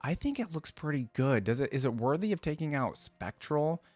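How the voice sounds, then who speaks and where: A man's voice: on the high side, sing-song, normal speed, darkish tone, no room sound, soft background music. A man hosting a reaction video, giving casual, informal off-the-cuff commentary and thinking aloud as he goes. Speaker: video host reacting to game content.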